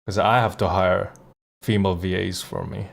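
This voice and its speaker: Guy on a livestream just talking, lowish voice, casual and blunt. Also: little pitch movement, normal speed, vocal fry. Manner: blunt, profane, conversational. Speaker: live streamer and voice actor. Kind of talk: casual livestream chat and reactions.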